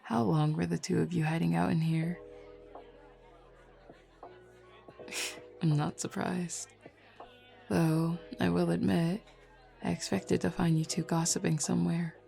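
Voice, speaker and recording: Woman acting as flirty werewolf packmate, mellow dark tone, heavy vocal fry, casual, self-assured, roleplay audio drama. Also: on the low side, little pitch movement, unhurried. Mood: teasing. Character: flirty, teasing, self-assured female werewolf pack beta. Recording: lo-fi music bed behind voice.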